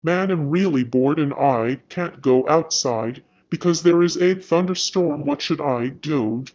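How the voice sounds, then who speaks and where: A man's voice: on the high side, unhurried, darkish tone, dull audio. A young man acting out an amateur scripted skit as himself, recorded at home. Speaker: storyteller voicing himself in a homemade skit.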